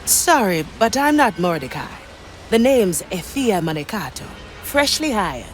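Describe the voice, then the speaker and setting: An actress playing a confident, plucky female pirate recruit in a video game, with a brisk, wry delivery. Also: medium pitch, sing-song, soft background music.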